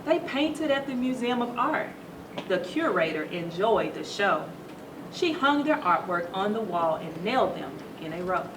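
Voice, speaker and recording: A woman reading a children's story aloud to schoolchildren in a teacherly, animated way, stopping to put questions to them. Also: mid-range voice, unhurried. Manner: teacherly, asks the listeners questions. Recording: roomy echo, distant mic, noisy background.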